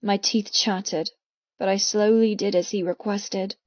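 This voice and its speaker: A woman narrating a first-person audiobook with expressive, intense, dramatic delivery. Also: mid-range voice, unhurried, no echo. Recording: slightly muffled, dry close studio sound.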